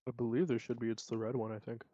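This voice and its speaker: Man, tabletop player, dark mellow voice, casual and tentative, thinking aloud, close dry slightly muffled sound. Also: mid-range voice, little pitch movement, fast talker, dry recording. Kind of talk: casual chat.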